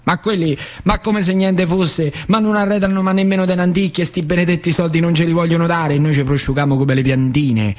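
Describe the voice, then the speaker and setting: A man with a central Italian accent from northern Lazio, performing an animated, colloquial theatre monologue in working-class dialect, repeating phrases for emphasis. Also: on the high side, brisk, few pauses. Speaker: actor and storyteller. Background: music.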